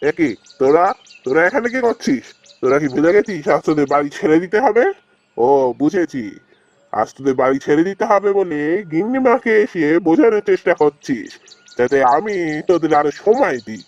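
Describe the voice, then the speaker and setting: A harsh cartoon landlord, voiced by a man, with a fairly high male pitch. He is stern and domineering, speaking as a village boss in a fairy tale. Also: very animated, brisk, dry recording, highs cut off. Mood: suspicious, scolding.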